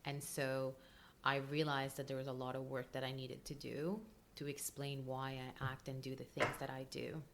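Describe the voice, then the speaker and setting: Low-voiced Colombian woman, open and emotional. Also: flat delivery, moderate pace, creaky, few pauses, noisy background. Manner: open and emotional, frequent ums and laughs. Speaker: podcast guest, breathwork coach. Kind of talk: casual interview chat.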